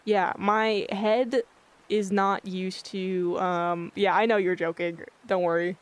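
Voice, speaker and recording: Livestreamer, casual chat, monotone, blunt-sounding, rambling, medium pitch, filtered home mic. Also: slow, smooth. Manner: rambling, loses track of thoughts. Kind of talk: casual chat with live viewers. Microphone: filtered streaming mic.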